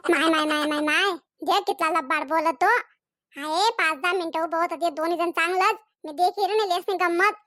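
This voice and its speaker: A woman's voice: very high-pitched, fairly quick, fairly bright tone, dry recording, studio quality. A woman voicing a scolding, jealous young village woman in a comedy cartoon, nagging and accusing in a Khandeshi Ahirani accent. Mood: indignant. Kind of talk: comedy cartoon dialogue in dialect.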